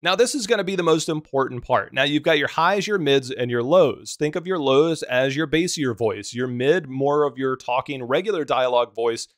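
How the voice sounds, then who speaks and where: A man with a deep voice giving a relaxed, practical walkthrough of streaming audio settings. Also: moderate pace, few pauses, no echo, studio quality. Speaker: streaming tutorial host. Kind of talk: screen-recorded software tutorial.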